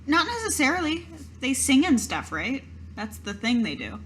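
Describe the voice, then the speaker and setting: A woman's voice: high voice, sing-song, moderate pace, fairly bright tone, a little hiss or hum. A woman playing a tabletop game, improvising in character in a casual, somewhat hesitant, questioning way.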